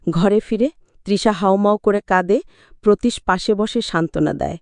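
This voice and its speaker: Female movie-recap narrator, smooth and resonant, dry studio sound, dramatic scripted storytelling. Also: medium pitch, normal speed, darkish tone, no room sound.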